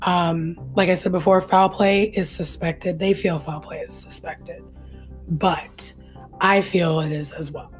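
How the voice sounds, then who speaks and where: Earnest, chatty woman with a low voice. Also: steady tone, unhurried, soft background music. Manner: earnest, chatty, laughs easily. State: hoarse, losing her voice. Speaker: podcast co-host. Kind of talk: casual true-crime podcast chat.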